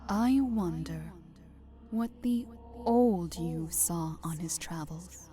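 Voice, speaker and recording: Low, breathy spider goddess. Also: female voice, takes it slowly, dark tone, soft background music. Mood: probing, curious. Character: poised, seductive ancient spider goddess of vampires. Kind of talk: dramatic fantasy voice acting.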